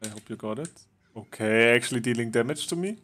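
A man casually chatting with a friend while they play a game together, relaxed and joking, laughing now and then. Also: mid-range voice, fast talker. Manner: relaxed, joking, chuckles often. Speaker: game streamer. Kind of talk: casual gaming chat with a friend.

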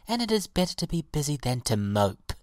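Woman as kindly storybook violet, lifted pitch, lilting, soothing, optimistic, dry sound. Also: sing-song, a little fast, darkish tone, dry recording. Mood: reassuring. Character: gentle, cheerful, patient little violet flower.

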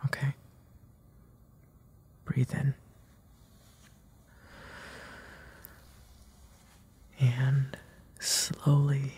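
A man acting a gentle, protective big brother in an intimate comfort roleplay audio, tender and hesitant, with a breathy, soft delivery. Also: medium pitch, slight background noise, lightly acted. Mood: soothing, calming. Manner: hesitant, tender, soft-spoken, often dropping into breathy whispers.

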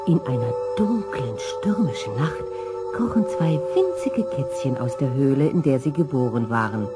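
A woman narrating a scripted children's story in an expressive, dramatic manner. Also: rumbling low, normal speed, loud music behind the voice.

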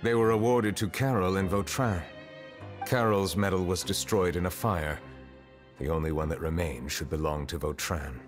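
Very deep, dignified, stern judge played by a man. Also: steady tone, long reverb tail, soft background music, close to an everyday voice. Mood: composed, stern. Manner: formal, measured, composed. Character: calm, dignified, stern male chief justice. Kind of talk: video game voice acting.

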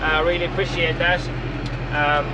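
A man's voice: on the high side, level tone, normal speed. A young Irish man in his twenties, a farmer chatting casually to camera from a tractor cab and correcting himself as he goes. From Ireland. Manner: rambling, self-correcting, plain-spoken. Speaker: farmer vlogger. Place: inside a tractor cab in a field. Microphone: action camera mounted in the cab. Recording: loud engine noise in cab. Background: loud tractor engine.

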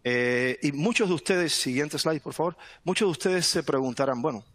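A middle-aged man from Cuba giving a webinar presentation over a headset from home, relaxed, often repeating words and adding "¿no?". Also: on the high side, moderate pace, no echo. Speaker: guest speaker, sales manager. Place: home room indoors. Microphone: headset on video call.

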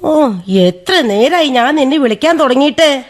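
A woman's voice: high-pitched, animated, unhurried. A woman playing a plain-spoken, chiding old village great-aunt in a radio drama, chatty and busy. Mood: brisk, busy. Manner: chatty, proverb-quoting, mildly reproachful.

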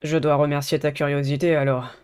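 A man voicing a polite, earnest teenage schoolboy in a dating game, measured. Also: fairly high pitch, flat delivery, a little mellow, clear, resonant tone, lightly acted. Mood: calm, earnest.

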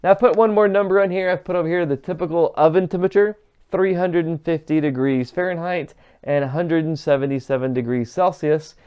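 A man's voice: on the high side, brisk, darkish tone, clean tone, highs cut off. A man in his forties giving a conversational, emphatic explanatory lesson as an instructor. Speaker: HVAC instructor. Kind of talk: whiteboard lesson, explanatory teaching. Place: small indoor room with whiteboard.

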